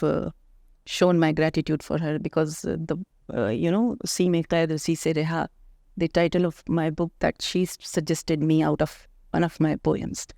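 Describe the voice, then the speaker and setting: A middle-aged woman from India with an Indian accent and Hindi as her first language, talking as a poet and author guest in a thoughtful interview, pausing often to find her words. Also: low voice, gravelly, darkish tone, dry recording, clean studio sound. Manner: thoughtful, searching for words with frequent pauses. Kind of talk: reflective conversational interview.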